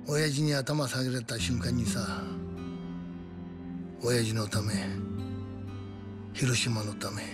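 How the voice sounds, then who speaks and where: A man's voice: mid-range voice, takes it slowly, long pauses, over loud music, natural voice. An actor playing a weary, self-mocking middle-aged gangster in a game drama, casual and rueful in his delivery. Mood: rueful, bitter. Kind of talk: cinematic game drama acting.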